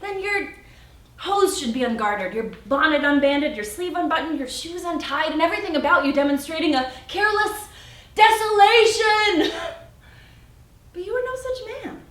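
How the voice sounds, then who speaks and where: Spirited, witty young stage heroine played by a woman, high and emphatic Shakespearean verse-style delivery, recorded far off in a warehouse. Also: moderate pace. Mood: spirited mockery. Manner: animated, teasing, emphatic. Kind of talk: theatrical Shakespeare monologue. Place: friend's warehouse. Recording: echoey warehouse, distant mic.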